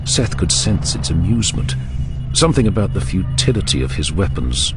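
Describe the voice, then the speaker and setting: A man delivers intense dramatic narration in a fairly low voice. His intonation is lively and emphatic, built for a battle-heavy audio drama. Loud music runs underneath him. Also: normal speed.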